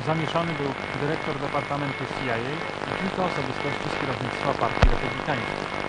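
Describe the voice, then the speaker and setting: A man's voice: medium pitch, lightly acted. Guy playing an official-sounding radio news announcer, parody bulletin, really noisy recording. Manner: formal, official. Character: formal male propaganda radio news announcer.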